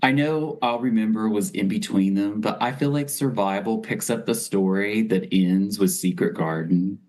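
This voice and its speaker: A man's voice: on the low side, moderate pace, a little mellow. A man talking casually as a panelist on a podcast. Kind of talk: casual chat.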